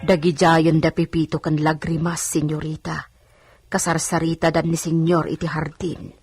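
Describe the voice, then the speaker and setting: A woman's voice: deep voice, level tone, moderate pace, a little mellow, faint music behind. A woman playing a deferential older household maidservant in a radio drama.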